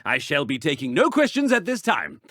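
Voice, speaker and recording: A man's voice: fairly high pitch, huge pitch swings, normal speed, pushed and bright, no echo, studio quality, heavily acted. A man voicing a pompous, imperious school authority in a video game, declaiming formally. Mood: pompous, commanding. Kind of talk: scripted video game announcement.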